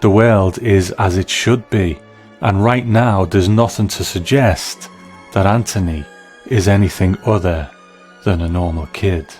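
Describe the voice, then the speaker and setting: A man's voice: low-pitched, measured pace, darkish tone, faint music behind. A man narrating a scripted video essay as a reviewer of film and television.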